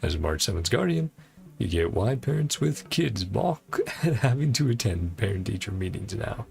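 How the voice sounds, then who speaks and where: A man's voice: on the low side, very animated, moderate pace, gravelly. A man livestreaming a video game, chatting casually in rambling asides and reading dialogue aloud. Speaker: game streamer.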